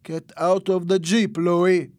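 A man voicing a suspicious gang henchman in an audiobook story, shouting accusingly. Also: sits high, flat delivery, slow, no room sound, voice pushed up. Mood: suspicious, angry. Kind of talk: audiobook character acting.

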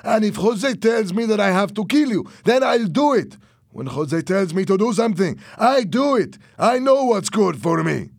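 A man's voice: fairly high pitch, a little slow, creaky, few pauses, dry recording, studio quality, pitched above the performer's own voice. A man acting a cruel, menacing henchman in a story reading, barking orders and threats in a mocking way. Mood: threatening. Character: cruel, menacing henchman with a rifle, obedient to boss.

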